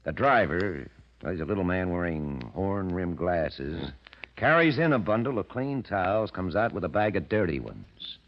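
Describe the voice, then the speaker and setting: An actor playing a cagey, self-assured gang boss in the style of 1940s radio crime drama. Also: male voice, deep voice, sing-song, normal speed, a little hiss or hum. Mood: confident, scheming. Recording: dull, lo-fi old radio recording.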